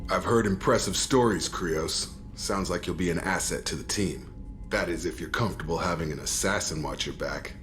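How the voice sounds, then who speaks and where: An actor playing a wary, proud, loyal soldier in a video game, talking in a skeptical, pointed manner. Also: male voice, deep voice, normal speed, a bit bright, soft background music. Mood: suspicious, curt. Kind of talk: video game cutscene acting.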